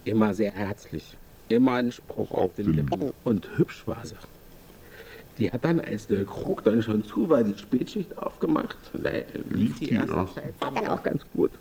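A nostalgic older regular drinker in an audio drama. The voice is gravelly and mellow, the delivery laid-back and rambling. A faint ambient noise lies behind it. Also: male voice, on the low side, sing-song, heavily acted. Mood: nostalgic, resigned. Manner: unhurried, rambling, matter-of-fact. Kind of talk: naturalistic audio drama dialogue.

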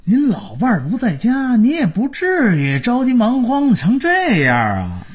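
A man's voice: on the high side, wildly swooping pitch, moderate pace, voice pushed up. A man playing a needling, combative young neighbor. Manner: shouting back, needling. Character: sharp-tongued neighbor's son, combative.

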